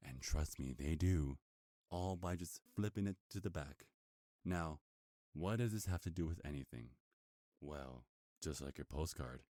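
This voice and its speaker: Casting: male actor for smug werewolf captor, ASMR roleplay. Rock-bottom pitch, very dry sound, seductive, possessive, taunting delivery. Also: warm tone, no room sound. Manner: taunting, possessive, smooth-talking.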